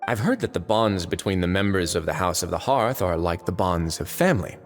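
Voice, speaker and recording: An actor playing a suave, calculating fortress lord in a game, speaking with measured courtesy and veiled menace. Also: male voice, sits low, lively intonation. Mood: calm menace. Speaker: voice actor in a dubbed video game. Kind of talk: theatrical game cutscene dialogue. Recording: long reverb under game music.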